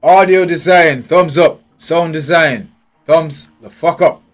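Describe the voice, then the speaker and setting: A man's voice: fairly high pitch, takes it slowly. A man streaming a game live, thinking aloud in a blunt, profane way and nitpicking every detail. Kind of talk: live gameplay commentary. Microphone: headphones with a desk mic. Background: faint game music.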